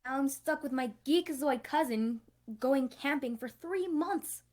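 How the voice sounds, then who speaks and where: Cartoon girl cousin voiced by a woman: higher-pitched, snippy, sarcastic, prim planner. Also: a little slow, darkish tone.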